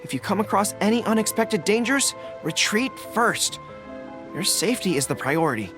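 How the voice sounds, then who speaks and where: A courteous, earnest young general, his fairly high voice measured and formal. Soft music plays behind the game dialogue. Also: very animated.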